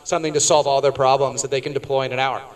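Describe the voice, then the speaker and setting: A man conducting a casual on-stage interview, rephrasing his questions haltingly as he goes. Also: mid-range voice, little pitch movement. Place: on stage at a tech conference.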